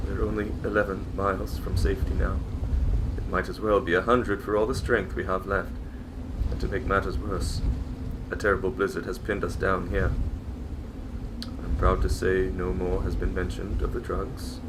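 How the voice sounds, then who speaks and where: A man playing a dying polar expedition leader in a dramatic stage reading, weary but stoic, speaking in a solemn, formal, measured way. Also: low-pitched, flat delivery, noisy background, lightly acted. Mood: exhausted, grim. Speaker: amateur theatre actor. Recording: prominent music under the voice.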